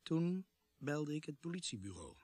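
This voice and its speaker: An actor playing an earnest policeman who tells his story gravely and with measure in a radio drama. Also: on the high side, very animated, normal speed. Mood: sober. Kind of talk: radio drama acting and narration.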